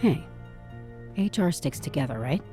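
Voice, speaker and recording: A woman's voice: deep voice, animated, unhurried, mellow, faint music behind. An actress playing a shrewd female HR sleuth in a podcast drama, matter-of-fact and decisive in a naturalistic style. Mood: resolute, guarded. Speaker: actor in a scripted podcast. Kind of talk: naturalistic audio drama acting.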